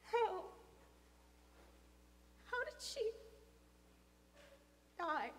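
Woman voicing a high-pitched, frightened young boy. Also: animated, fairly bright tone, long reverb tail, full character voice. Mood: shocked, distressed. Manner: halting, stammering delivery. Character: frightened, grieving young boy in hiding, earnest and defensive. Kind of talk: dramatic audiobook character acting.

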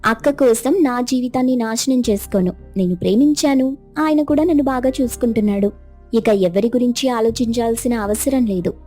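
Woman acting defiant younger sister, animated story, fairly high pitch, very mellow timbre, selfish, self-justifying. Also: a little fast, faint music behind, close to an everyday voice. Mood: defiant, cold. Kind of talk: animated moral story dialogue.

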